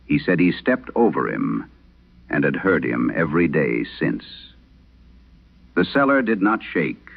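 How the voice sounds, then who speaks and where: Man playing a very deep, grave old priest. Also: big pitch swings, vocal fry, husky, phone-quality sound, heavily acted. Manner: grave, measured, dramatic pauses. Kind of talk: solemn first-person horror radio storytelling. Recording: band-limited vintage radio sound.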